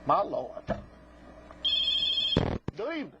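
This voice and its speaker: A man's voice: high voice, wildly swooping pitch, takes it slowly. A man with a sassy, scolding, theatrical manner and folksy colloquial speech, hosting a live call-in show and repeating catchphrases. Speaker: host of a live phone-in psychic show. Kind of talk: live call-in TV talk with prank callers. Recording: muffled lo-fi broadcast with clear noise, clicks.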